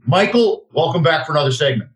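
A man's voice: on the high side, expressive, quick pace. A man in his fifties hosting a talk radio show in a direct, self-assured, salesy way. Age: 50s. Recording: roomy, echoey sound.

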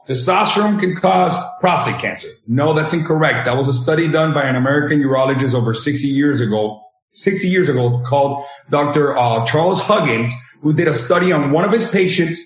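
A man in his thirties, from Venezuela, earnestly explaining his health practice as a guest. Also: a little high. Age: 30s. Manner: explanatory, earnest, leans on examples. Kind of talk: interview answers. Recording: roomy echo.

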